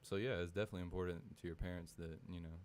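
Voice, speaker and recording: A man's voice: low voice, steady tone, very fast, darkish tone. A young man in his twenties, a podcast guest, chatting in an easygoing, agreeable way with casual slang. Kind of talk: casual chat.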